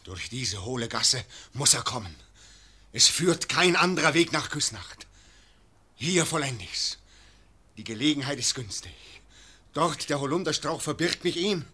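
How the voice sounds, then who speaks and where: A grim archer in ambush. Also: male voice, mid-range voice, expressive, measured pace, sharp tone, slightly rough, heavily acted. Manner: tense inner monologue in verse. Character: lone archer waiting in ambush, grim, resolved.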